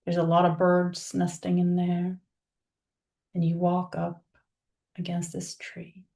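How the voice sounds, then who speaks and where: A woman leading a guided meditation, speaking in a soothing, unhurried manner with pauses between phrases. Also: lowish voice, monotone, vocal fry, warm tone. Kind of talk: guided meditation, soft spoken visualization.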